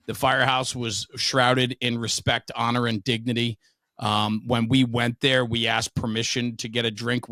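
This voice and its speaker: A man's voice: medium pitch, sharp tone, no room sound. A middle-aged man telling stories casually as an interview guest, frank, emphatic and opinionated. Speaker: podcast guest, veteran firefighter and speaker.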